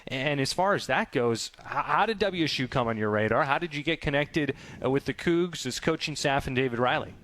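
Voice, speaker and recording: A man's voice: mid-range voice, animated, fairly quick, few pauses, fairly bright tone. A man hosting a sports interview, asking long, winding questions in a conversational way. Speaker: radio show host.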